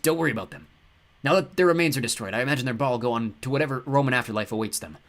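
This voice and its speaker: A man's voice: mid-range voice, racing, fairly bright tone, natural voice. A man voicing a cheerful god who talks in a light, offhand, apologetic way. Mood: breezy. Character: cheerful grinning god in a borrowed farmer's body.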